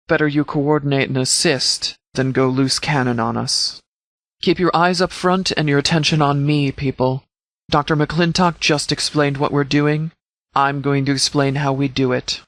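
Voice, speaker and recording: A stern lawman addresses his forces in a formal, commanding manner. His voice sits slightly high for a man, and the sound is very dry and close. It is scripted video game dialogue, delivered as a measured military briefing. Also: fairly bright tone, dry recording. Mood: firm, commanding. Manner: authoritative, formal, measured wording. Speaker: sheriff, leader.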